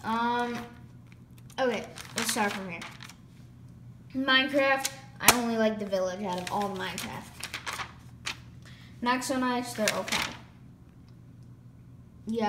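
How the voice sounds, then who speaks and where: Hoarse young woman rambling through a catalog. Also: a little high. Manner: rambling, lists items quickly with filler words. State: losing her voice, hoarse. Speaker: YouTuber flipping through a toy catalog. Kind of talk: casual off-the-cuff vlog commentary. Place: indoors, room. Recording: echoey room with clear background noise.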